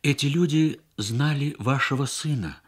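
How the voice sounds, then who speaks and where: A man voicing an earnest young woman interpreter in a radio story, explaining in a measured way. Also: medium pitch, slightly rough. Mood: sober, informing. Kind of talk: Soviet radio story reading.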